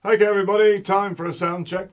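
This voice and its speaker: A man's voice: high-pitched, fairly even intonation, quick pace, lo-fi sound, lightly acted. A man playing an officious, self-important radio stage manager who nags and fusses over his cast in a comedy. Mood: bossy, impatient. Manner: officious, nagging. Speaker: radio producer. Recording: roomy echo.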